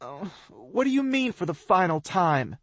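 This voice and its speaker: A man narrating an audiobook, voicing an injured young woman who is tearful, panicked and sharp-tongued. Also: very animated, a little slow, dry recording, exaggerated voice. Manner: shrill, tearful and sobbing.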